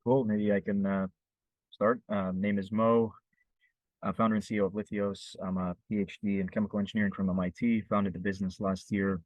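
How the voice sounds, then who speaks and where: A man introducing himself as a startup CEO on a video call, talking quickly and filling gaps with "uh". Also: lowish voice, level tone, no room sound. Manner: quick, with many "uh" fillers. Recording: dry, muffled call audio.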